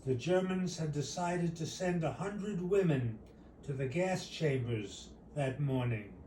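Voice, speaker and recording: A man reads a personal short story aloud at a slow, deliberate pace. His voice is mellow and somewhat high for a man. It is recorded in a roomy space at some distance from the mic. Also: animated, slight background noise. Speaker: author reading own short story.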